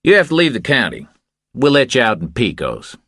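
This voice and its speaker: A man's voice: mid-range voice, wildly swooping pitch, fairly quick, fairly bright tone, dry recording, clean studio sound, voice pushed up, exaggerated voice. Man playing a blunt, officious young Texas Ranger. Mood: officious, firm. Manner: clipped, authoritative, unyielding.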